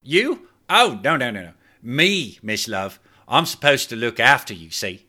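A man's voice: mid-range voice, sing-song, measured pace, pushed and bright, pitched above the performer's own voice, full character voice. A man voicing a nervous, sheepish male hotel attendant, wheedling and hesitant. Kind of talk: audiobook character voice.

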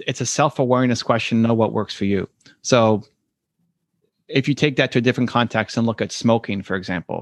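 A man as a podcast guest, answering earnestly and acting out quoted voices. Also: no echo. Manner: earnest, acts out quoted voices with rising pitch. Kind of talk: reflective conversational interview answers.

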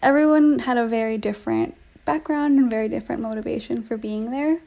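Female narrator for an audio story, gently mellow with noticeable vocal fry. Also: on the high side, a little fast, highs cut off.

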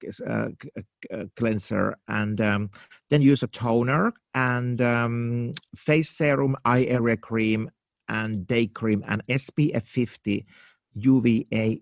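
A man in his fifties with a Finnish accent speaks English as a second language, pausing and restarting as he reaches for words. His voice has a gravelly rasp, and the recording is dry and close. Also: medium pitch, no room sound. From Finland. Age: 50s. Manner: halting, searching for English words with many fillers and restarts. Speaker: makeup artist guest. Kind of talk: casual interview answers.

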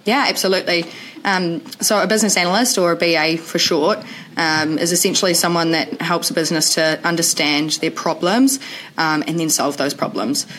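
A woman from New Zealand, a recruitment specialist, explaining her field clearly as a podcast guest. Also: deep voice, fairly bright tone, poor audio. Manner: clear, explanatory, with frequent ums.

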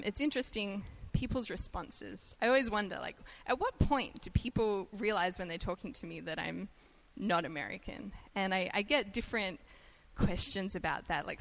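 A woman with an Australian accent giving a conversational sermon, chatty and quick to laugh at herself. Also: medium pitch, creaky, rough recording. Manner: chatty and self-deprecating, laughs easily. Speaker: guest preacher.

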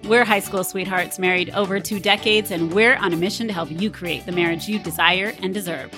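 A woman's voice: lowish voice, steady tone, fairly quick, music in the background. A woman co-hosting a podcast with her husband, chatting casually and teasing him with easy laughs. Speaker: podcast co-host, sexuality coach. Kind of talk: casual married-couple chat.

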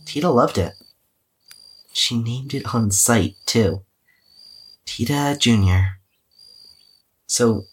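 A man acting as a weary young man keeping an audio diary in a horror podcast drama. His voice is husky and sits at an average male pitch. He muses hesitantly, self-effacing, with a wry cynical streak. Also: a bit bright. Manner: hesitant, musing, wryly cynical.

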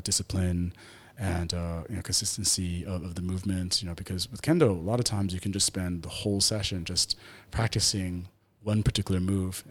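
A man's voice: low voice, husky. A middle-aged man from Taiwan, a podcast guest answering questions thoughtfully with many ums and uhs. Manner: thoughtful, many hesitations and fillers. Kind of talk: relaxed conversational interview.

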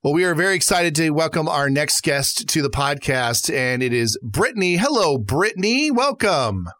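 A man's voice: a little high, sing-song, normal speed, fairly bright tone, no room sound, studio quality. Man co-hosting a fan podcast. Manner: friendly, chatty interviewer. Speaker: podcast co-host. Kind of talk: casual podcast interview.

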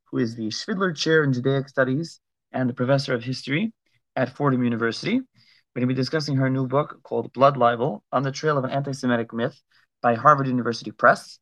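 A man hosts an interview show in a casual register. His voice is bright and crisp-edged, recorded dry with little room around it. He phrases things loosely and haltingly, like someone talking off the cuff. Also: mid-range voice, no echo, rough recording. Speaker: podcast host. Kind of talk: casual live interview hosting.

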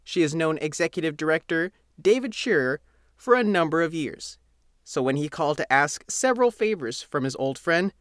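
A man's voice: on the high side, expressive, moderate pace, a bit bright, dry recording, studio quality. A man reading a scripted local feature article as its reporter and narrator.

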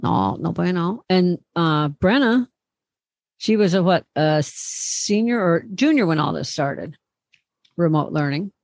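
A woman's voice: on the low side, animated, a little slow, gravelly, a little mellow, no echo. A middle-aged woman co-hosting a casual podcast, telling rambling stories and teasing her co-host. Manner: chatty, rambling storyteller, teasing. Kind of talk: casual chat.

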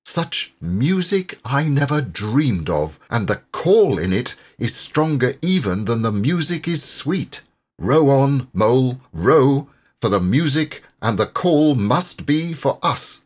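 A man performs a storybook water rat, enraptured and poetic. The voice swings widely in pitch and lingers slowly over breathless, longing exclamations, with a slightly husky edge. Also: sing-song, exaggerated voice. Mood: rapturous urging. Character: rapturous male water rat, awestruck dreamy poetic animal. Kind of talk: audiobook character voice.

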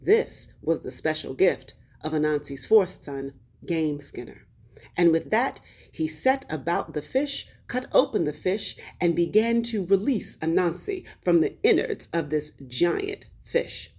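A woman hosting as a storyteller, reading a folktale expressively and deliberately, with dramatic pauses and drawn-out words. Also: lowish voice, expressive, dark tone, slightly rough. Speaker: host and storyteller.

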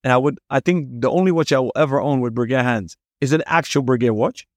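A man's voice: medium pitch, fast talker, no room sound, clean studio sound. A man with a Dutch accent hosting a podcast, asking eager questions in casual interview chat. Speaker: podcast host.

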